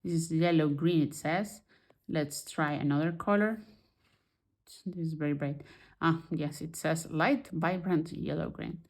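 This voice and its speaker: Non-native english woman, kinda low voice, chatty tutorial, keeps restarting her sentences. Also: steady tone, measured pace. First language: not English. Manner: chatty, restarts and searches for words. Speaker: digital art tutorial creator. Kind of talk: casual screen-recorded tutorial.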